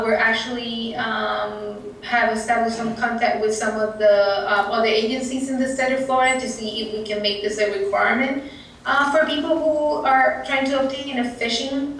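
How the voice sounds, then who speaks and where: A woman from Puerto Rico with a thick Puerto Rican Spanish accent, explaining a training course at a conference in an earnest, halting way with many fillers. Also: fairly high pitch, a little hiss or hum. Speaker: presenter. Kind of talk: conference presentation. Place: conference room. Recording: echoey room, distant mic.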